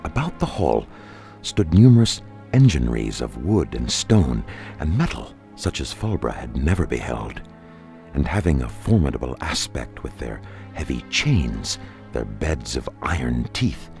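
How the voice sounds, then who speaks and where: A man giving a solemn, measured dramatic narration of dark fantasy fiction, as an audiobook reader. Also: very deep voice, very animated, creaky, soft background music.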